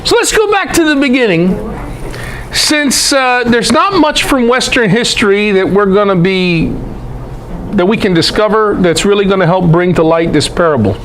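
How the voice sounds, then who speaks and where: A man's voice: high-pitched, normal speed, fairly bright tone, a little hiss or hum, poor audio. A man giving a conversational Bible lecture to a group, emphatic, posing rhetorical questions.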